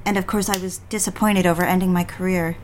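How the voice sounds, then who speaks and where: An actress playing a devoted, anxious young bride-to-be in a period audio drama, speaking earnestly and properly. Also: female voice, lowish voice, steady tone, quick pace, slight background noise, natural voice. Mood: earnest, defiant.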